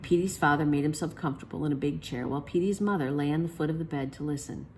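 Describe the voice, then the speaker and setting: A woman storyteller with a slightly low voice reads a bedtime story to children. Her delivery is expressive, and she acts out the lines of the characters. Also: fast, a little hiss or hum. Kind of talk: children's picture book read aloud.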